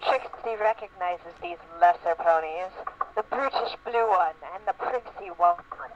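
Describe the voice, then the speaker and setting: A woman reads a comic story aloud in a mid-pitched voice, as an amateur dramatic reading. The sound is muffled and lo-fi with slight noise. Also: expressive, measured pace. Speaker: reader. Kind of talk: comedic dramatic reading of fan fiction. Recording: lo-fi radio-like sound, faint noise.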